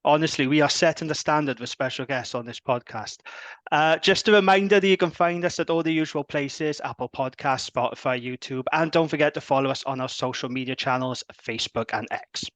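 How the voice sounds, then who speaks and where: A man's voice: mid-range voice, fairly quick, fairly bright tone, no echo. A man hosting a podcast, chatty and enthusiastic as he introduces the show and his guest. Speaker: podcast host.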